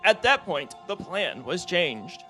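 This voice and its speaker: A noble martial artist woman, grave and resolute. Her deep voice moves deliberately and sorrowfully, with game music clearly behind. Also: sing-song, sharp tone, full character voice.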